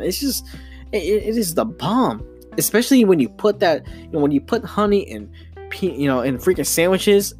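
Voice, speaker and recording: A young man hosting a solo podcast, rambling excitably with casual slang and frequent stumbles and restarts. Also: a little high. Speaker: podcast host.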